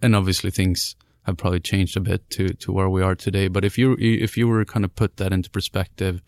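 A man's voice: deep voice, flat delivery, fairly quick, no room sound, clean studio sound. A man interviewing a coach, asking long, winding questions full of restarts.

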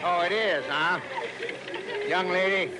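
An actor playing an irate, bossy small-town police sergeant in a 1960s radio drama. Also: male voice, high voice, takes it slowly. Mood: threatening. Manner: blustering, barking orders, folksy. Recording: noisy old radio broadcast recording.

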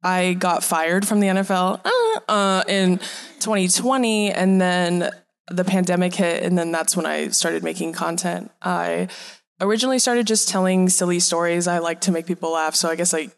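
A woman's voice: on the low side, normal speed, gravelly. A woman, a comedy creator, introducing herself with a wry, joking manner on a live panel.

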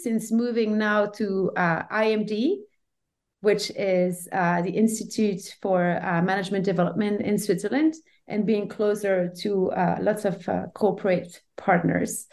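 A woman speaks as an academic guest in a conversational interview. Her voice sits at a middle pitch. She thinks things through aloud, often pausing to find the right word. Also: fairly even intonation, darkish tone. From Brussels, Belgium. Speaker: professor, podcast guest.

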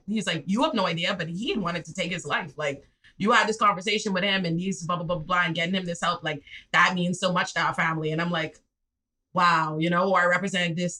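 A Bahamian woman in her twenties, a public defender telling stories in a casual podcast chat, her voice leaping high when she acts out scenes. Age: 20s. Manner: lively, swings into high excited re-enactments. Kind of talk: animated storytelling in casual chat.

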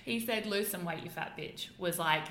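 Young woman guest, British-Australian accent, bright lowish voice, chatty and filler-heavy, echoey room. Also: moderate pace. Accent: mixed British and Australian. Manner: chatty, laughs often, many fillers. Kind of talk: casual interview chat.

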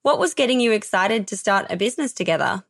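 A woman's voice: medium pitch, animated, quick pace, dry recording, clean studio sound. A woman hosting a podcast, asking interview questions and reacting with enthusiasm. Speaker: podcast host.

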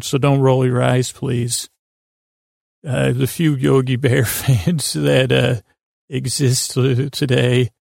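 Very creaky, gravelly man rambling softly along. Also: mid-range voice, dry recording, studio quality. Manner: meandering, halting, self-deprecating. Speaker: podcast host. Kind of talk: rambling bedtime monologue.

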